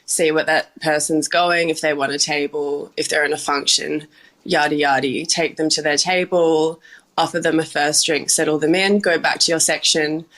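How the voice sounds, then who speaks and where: A woman's voice: low-pitched, fairly even intonation, moderate pace, fairly bright tone. A young woman, a bar manager and sommelier, talking casually in an interview with lots of "like" and "um". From Australia. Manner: chatty, many "like" and "um" fillers, laughs often.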